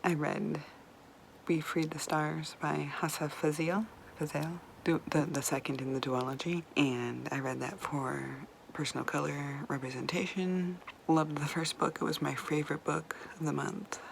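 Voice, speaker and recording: A woman's voice: low-pitched, fairly even intonation, measured pace, gravelly, a little hiss or hum, low-quality recording. A woman reviewing books in a chatty, rambling way, swearing casually and sometimes dropping to a whisper. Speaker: book vlogger. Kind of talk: casual book review chat.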